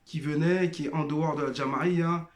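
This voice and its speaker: A man's voice: fairly high pitch, fairly even intonation, a little fast, reverberant. A man giving a personal testimony monologue, hesitant with many fillers and restarts, addressing listeners as brothers and sisters. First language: not Arabic-speaking. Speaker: former member sharing testimony.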